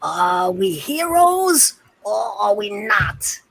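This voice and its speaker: Woman playing a slow, grandiose zealous hero. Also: mid-range voice, very animated, a bit bright, no echo, exaggerated voice, rough recording. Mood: defiant. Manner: declamatory, archaic proclamations. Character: zealous, grandiose hero sworn against the undead. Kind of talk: in-character tabletop roleplay.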